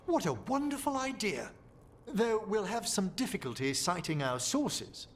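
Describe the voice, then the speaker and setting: A man's voice: high voice, sing-song, measured pace, a little hiss or hum, heavily acted. A man playing a genteel, scholarly mage in a game, with formal, precise, erudite speech. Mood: scholarly delight. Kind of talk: video game character dialogue.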